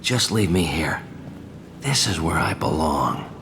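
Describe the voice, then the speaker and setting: An actor playing a haunted, obsessed survivor of cruel experiments in a video game, brooding and intense. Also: male voice, low-pitched, animated, takes it slowly, heavily acted. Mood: desperate, resigned. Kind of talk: dramatic video game acting. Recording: clear background ambience noise.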